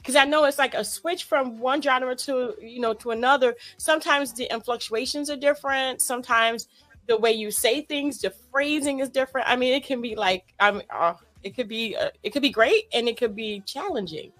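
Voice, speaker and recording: A woman's voice: high voice, moderate pace, a bit bright. A woman hosting an interview show, asking her guests questions with lots of enthusiasm. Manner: enthusiastic, gushing.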